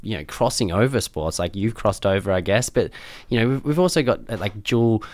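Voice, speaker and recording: A man hosts a casual interview in a fairly low voice. He talks quickly in an informal, rambling way, and the sound is close and dry. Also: expressive, no room sound. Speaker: interviewer, radio show host.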